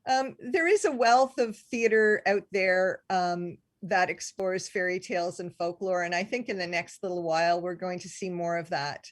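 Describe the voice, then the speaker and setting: A woman's voice: on the low side, moderate pace, few pauses, no room sound. Dry, close-sounding woman moderator. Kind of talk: reading out audience questions, live event hosting.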